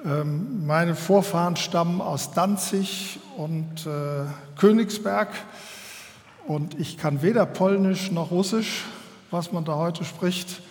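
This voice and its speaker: A German man giving a public lecture to an audience, in a measured and earnest way, often pausing to find his words. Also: sits high. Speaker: guest speaker. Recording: long hall reverb.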